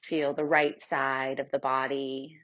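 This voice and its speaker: Slow, low-voiced woman guiding meditation. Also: level tone, lo-fi sound. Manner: soothing, unhurried with long pauses. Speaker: guided meditation teacher. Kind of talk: guided meditation, yoga nidra.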